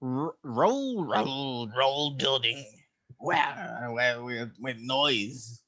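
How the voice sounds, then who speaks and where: A man voicing a garbled comic narrator who reads halting, repetitive descriptions in broken speech, mixing up and dropping sounds. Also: medium pitch, expressive, pushed and bright, full character voice. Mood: halting, clownish. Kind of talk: comic dramatic reading played for laughs.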